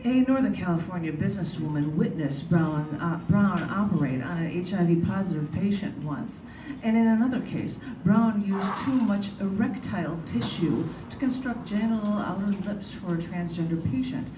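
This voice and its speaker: Woman reading aloud in an echoey, noisy room. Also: lowish voice. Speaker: writer reading own prose and poems. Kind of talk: live literary reading of prose and poetry. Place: large indoor bookstore, live reading. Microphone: camera mic at a distance. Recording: echoey, noisy bookstore, distant camera mic.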